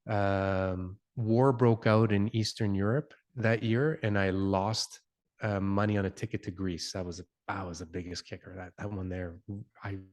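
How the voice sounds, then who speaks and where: A Canadian man in his forties, a guest on an interview, speaking in a somewhat low voice. He talks reflectively, full of ums, uhs and restarts. Italian was his first language. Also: fairly even intonation, a little slow, darkish tone. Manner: hesitant, many fillers and restarts, reflective. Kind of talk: conversational interview.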